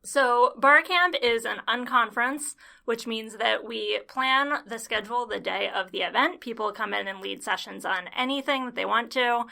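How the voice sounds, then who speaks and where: A woman guesting on a podcast, explaining her projects in casual chat with a measured, amused manner. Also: fairly high pitch, vocal fry, bright, forceful tone.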